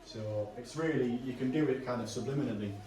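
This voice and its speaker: Guy coaching stretches, a bit hoarse, lots of ums, roomy gym sound from a distance. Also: mid-range voice, brisk. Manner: relaxed, reassuring instructions with frequent fillers. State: going hoarse. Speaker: personal trainer. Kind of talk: coaching a client through stretches. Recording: echoey room from a distance.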